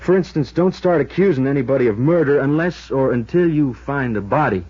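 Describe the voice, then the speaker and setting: An American actor playing an easygoing, cautious small-town sheriff with a hesitant, dry manner in a 1950s radio drama. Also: male voice, mid-range voice, lively intonation, brisk, dark tone, close to an everyday voice. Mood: cautioning. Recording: muffled old radio recording.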